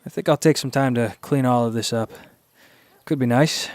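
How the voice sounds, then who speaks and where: An actor playing a friendly, easygoing companion in a radio drama, casual and conversational. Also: male voice, medium pitch, moderate pace, a little mellow, lightly acted. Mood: relaxed, friendly.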